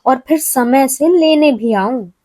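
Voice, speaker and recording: A woman's voice: sits high, expressive, takes it slowly, warm tone, no echo, full character voice. A woman voicing a worried, hard-working mother goat in a children's story, earnest and plaintive. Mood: earnest, burdened.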